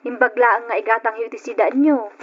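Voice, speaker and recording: Actress as high-voiced dutiful Ilocano daughter. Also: female voice, fairly even intonation, fairly quick, a little hiss or hum, close to an everyday voice. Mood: earnest. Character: dutiful, devout, hardworking daughter supporting her poor family. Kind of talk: old radio drama acting. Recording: muffled old radio drama recording.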